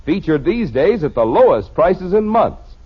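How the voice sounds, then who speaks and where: An American man announcing a vintage radio advertisement, with a husky voice and a polished, emphatic sales delivery. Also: high voice, big pitch swings, a little slow. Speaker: commercial announcer. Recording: dull vintage radio recording.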